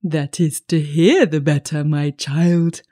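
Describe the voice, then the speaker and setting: Sly male wolf played by a woman storyteller: hungry, falsely sweet, drawn-out delivery, mellow, storybook villain. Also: low voice, fairly even intonation. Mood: sly, menacing.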